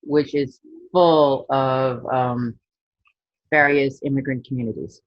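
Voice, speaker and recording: A woman cartoonist with a New York accent introducing herself on a video call, casual and halting. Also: extremely low pitch, steady tone, no room sound, highs cut off.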